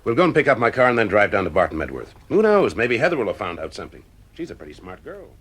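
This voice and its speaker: An actor playing a calm, persuasive private detective in an audio drama, with a husky voice. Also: male voice, on the low side, fast, heavily acted.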